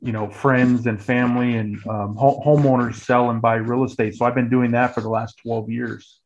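A man's voice: medium pitch, flat delivery, moderate pace, lo-fi sound, noisy background. An American man in his early forties telling his story in a casual, conversational way, often restarting and repeating phrases mid-thought. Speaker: guest, real estate agent.

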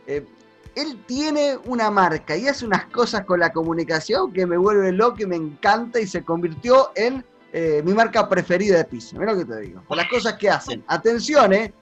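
A man with a Rioplatense Spanish accent hosting a business podcast interview, talking in an excited, emphatic way. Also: sits high, highs cut off, faint music behind. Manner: excited, emphatic, rapid asides. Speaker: podcast host.

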